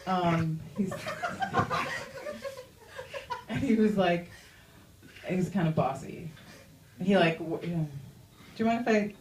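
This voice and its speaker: A woman's voice: fairly low pitch, very animated, slow pace, pauses often, reverberant, far from the mic, background noise. A young woman doing a stand-up comedy set in a chatty, self-mocking way, full of "like" asides. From Iranian-American. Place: comedy club stage.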